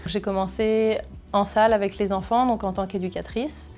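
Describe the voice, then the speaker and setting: A woman's voice: medium pitch, steady tone, moderate pace, a little mellow. A woman from Brittany, France, who supervises a childcare center, speaking plainly in an interview with light hesitations. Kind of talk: interview testimony.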